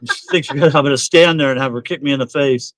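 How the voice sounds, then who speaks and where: A man's voice: mid-range voice, fairly quick, fairly bright tone, no room sound, soft background music, rough recording. A man chatting and teasing on a pontoon boat while fishing. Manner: teasing, joking. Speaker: fishing companion. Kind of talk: casual chat while fishing. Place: outdoors on a pontoon boat on a lake.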